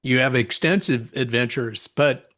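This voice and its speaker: A man hosting a podcast, reading a scripted intro and then interviewing his guest in a clear, measured way. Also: medium pitch, a bit bright, no room sound, clean studio sound. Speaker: podcast host.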